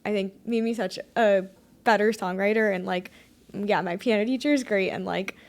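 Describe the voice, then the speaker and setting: A woman's voice: mid-range voice, normal speed. A young woman songwriter answering interview questions in a hesitant, casual way, with many ums and likes. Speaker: guest songwriter.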